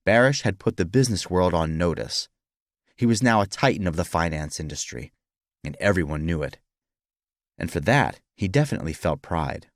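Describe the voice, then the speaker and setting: A man's voice: low-pitched, no echo, studio quality. A man reading scripted historical fiction as a dramatic audiobook narrator.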